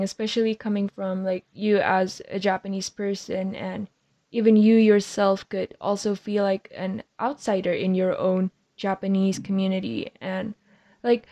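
A woman's voice: mid-range voice, darkish tone. A young woman asking questions in a casual conversation, curious and chatty with lots of fillers. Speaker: interviewer.

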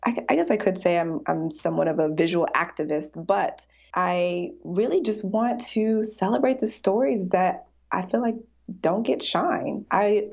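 A woman's voice: fairly low pitch, darkish tone. A woman in her forties, an artist talking reflectively in a casual interview, laughing often. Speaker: artist guest. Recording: dull lo-fi sound.

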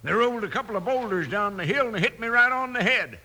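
An actor playing a feisty, boastful old frontier grandpa with a folksy drawl, in an old-time radio western. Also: male voice, high voice, expressive, quick pace, sharp tone, full character voice. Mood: boastful, rambling. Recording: vintage radio recording, faint hiss.

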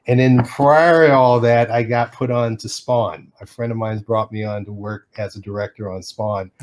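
Man, middle-aged, from Detroit, lively storyteller, acts out voices, laughs a lot. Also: on the low side, moderate pace, a little hiss or hum. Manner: animated storyteller who acts out quotes and laughs often. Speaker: animation artist guest. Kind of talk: casual storytelling interview.

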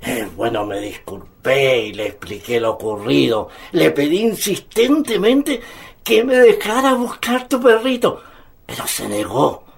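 A man's voice: fairly high pitch, big pitch swings, gravelly, full character voice. An actor playing a devout elderly grandfather in a radio drama, speaking earnestly and patiently like someone recounting a cherished memory. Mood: earnest recollection. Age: older. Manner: earnest, hesitant openings, measured storytelling. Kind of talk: Spanish-language radio drama acting.

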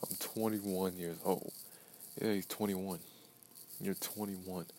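A man's voice: low-pitched, fairly even intonation, slow pace, a little mellow, a little hiss or hum. A man in his early twenties talking to himself in a blunt, profane podcast monologue, repeating phrases for emphasis. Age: 20s. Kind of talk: self-talk pep monologue.

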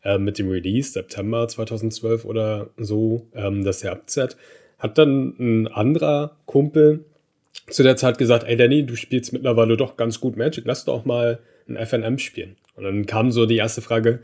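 A man in his thirties from Berlin, a podcast guest telling stories in a relaxed way, with fillers and laughs. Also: mid-range voice, normal speed. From Berlin, Germany. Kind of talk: casual conversation.

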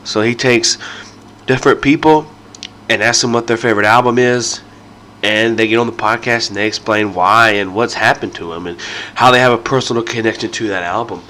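A man's voice: medium pitch, pushed and bright, slight background noise. A man hosting a podcast, nasal and congested from a sinus infection, rambling casually through his intro with lots of ums and restarts. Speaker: podcast host.

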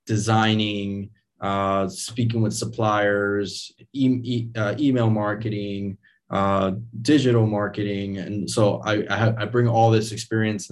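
A man in his thirties, from Venezuela and raised in the States, chats as an interview guest. His voice sits low and stays fairly flat. He rambles with plenty of fillers and restarts. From Venezuela, raised in Miami. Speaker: interview guest, digital nomad. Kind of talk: casual interview talk.

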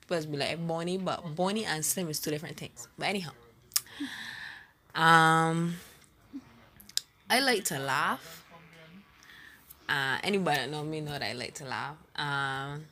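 A woman's voice: low-pitched, unhurried, pauses often, sharp tone. A woman with a Barbadian accent, chatting hesitantly with giggles and fillers about her faith as co-host. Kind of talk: casual faith chat.

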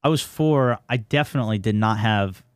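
A man's voice: lowish voice, a little fast, clear, resonant tone, dry recording, studio quality. A man hosting a gaming podcast in a casual, chummy way, chatting informally with his guest. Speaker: podcast host.

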